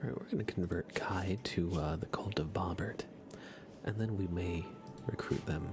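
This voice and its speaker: Soft, low-pitched ASMR streamer man. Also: normal speed, music in the background. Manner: soft-spoken, often dropping into a whisper. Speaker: livestream host. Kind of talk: relaxed ASMR gaming stream chat.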